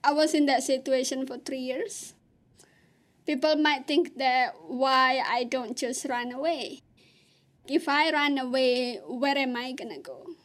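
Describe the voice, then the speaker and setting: High-voiced Indonesian-accented woman. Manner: plain, halting, with many fillers. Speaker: interviewee. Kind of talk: personal testimony.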